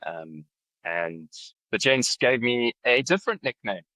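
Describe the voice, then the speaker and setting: A man's voice: mid-range voice, expressive, unhurried, bright, forceful tone, no room sound, low-quality recording. A South African man in his thirties, a podcast guest telling his story in an easygoing way. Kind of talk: casual interview.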